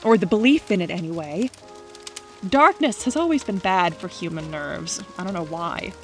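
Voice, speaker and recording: A woman acting in an audio drama as a teasing, stubborn young skeptic with a brisk, argumentative manner. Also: fairly low pitch, expressive, soft background music, close to an everyday voice. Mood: dismissive.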